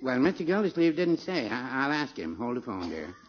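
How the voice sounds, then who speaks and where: An actor playing a placid, literal-minded old druggist, folksy and hesitant, in 1950s radio comedy style. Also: male voice, mid-range voice, sing-song, brisk. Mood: unhurried. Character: mild, unhurried, dithering old small-town druggist. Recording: muffled, noisy old radio recording.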